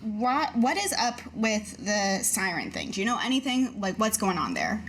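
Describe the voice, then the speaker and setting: A woman's voice: medium pitch, sing-song, normal speed, a bit bright, rough recording. Casual woman gamer, faint background noise. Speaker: tabletop game player. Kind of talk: casual improvised role-play chat.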